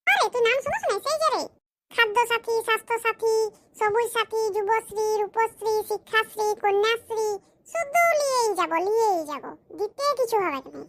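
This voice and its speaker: A performer voicing a boastful, scheming village man in a cartoon, with a smug, slow, explaining manner. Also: very high-pitched, animated, background noise. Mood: bragging. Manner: smug, bragging, explains his schemes slowly. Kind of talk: cartoon comedy voice acting.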